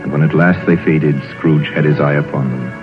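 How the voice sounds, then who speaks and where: A man narrating a scripted vintage radio drama with measured, solemn phrasing. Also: extremely low pitch, steady tone. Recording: muffled vintage radio broadcast, music behind.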